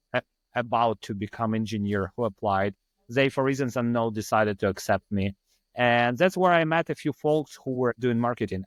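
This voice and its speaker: A man's voice: medium pitch, dry recording. A man in his thirties from Ukraine with a Ukrainian accent, a podcast guest telling his story in a rambling, self-deprecating way. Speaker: podcast guest, startup founder. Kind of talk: casual storytelling in an interview.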